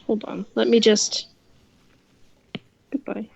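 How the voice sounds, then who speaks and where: A woman's voice: on the high side, flat delivery, slow, gravelly, breathy edge, no echo. A young woman streaming a game, chatting casually and exclaiming readily as she reacts to play. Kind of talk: casual co-op gameplay chat.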